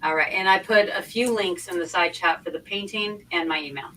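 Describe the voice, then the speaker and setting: A woman's voice: medium pitch, a little fast, fairly bright tone. A woman chatting casually as a guest on an online livestream panel, heard from a slightly distant mic. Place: online group video call. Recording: distant mic with faint background noise.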